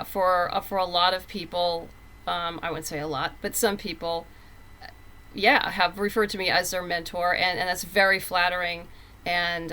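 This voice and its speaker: Bright-voiced woman chatting as interview guest. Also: fairly low pitch, rough recording. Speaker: interview guest, comic book writer. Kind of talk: casual conversational interview.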